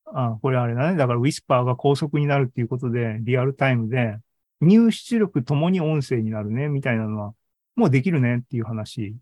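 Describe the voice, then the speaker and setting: Mellow-voiced man thinking aloud. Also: mid-range voice, fairly quick, no room sound. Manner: conversational, seeks agreement with frequent sentence-end tags. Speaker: presenter of an online AI seminar and podcast. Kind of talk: informal tech talk, thinking aloud. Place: indoors, live online video meeting.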